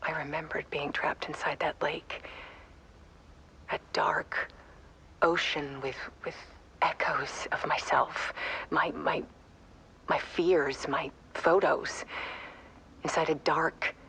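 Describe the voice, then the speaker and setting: A haunted artist woman in a game, confiding in someone dear. Her delivery is hushed and halting, often slipping to a near whisper, in a low-lying voice over faint ambience. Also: vocal fry, slight background noise, exaggerated voice, poor audio. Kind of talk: intimate confessional game monologue.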